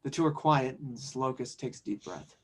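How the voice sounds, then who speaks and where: A man's voice: medium pitch, moderate pace. Casting: man with a mid-range voice as narrator, reading scene directions for an audio drama. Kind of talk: scripted narration, table read.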